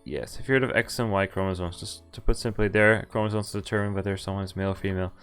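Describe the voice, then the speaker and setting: A man's voice: sits low, fast. A man streaming a game, reading its dialogue aloud and adding informal asides of his own. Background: game music.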